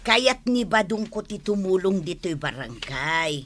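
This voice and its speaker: A woman's voice: medium pitch, big pitch swings, unhurried, fairly bright tone. A woman playing an outspoken village wife in a comedy drama, pointed and chiding, with an Ilocano accent. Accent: Ilocano, Philippines.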